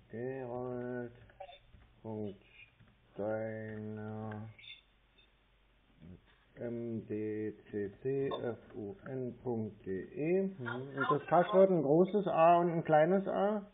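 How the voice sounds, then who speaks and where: A man's voice: medium pitch, steady tone, extremely slow, long pauses, slight background noise. A man chatting casually on the phone in a matter-of-fact, slightly hesitant, colloquial way. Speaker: scam-baiting call recipient posing as customer. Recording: tinny band-limited phone call.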